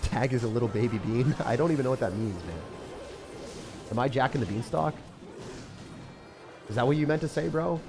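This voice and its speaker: A man's voice: medium pitch, expressive, brisk, gravelly, pauses often, music in the background. A young man live-streaming a game, chatting casually with viewers, joking and reading their messages aloud, with slang like bro and man. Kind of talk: live-stream gameplay chat.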